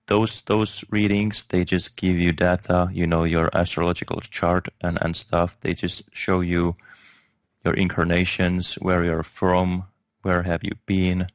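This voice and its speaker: A man from Finland, a guest in a spiritual interview, speaking slowly and hesitantly with many fillers and restarts. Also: low-pitched, little pitch movement, dry recording.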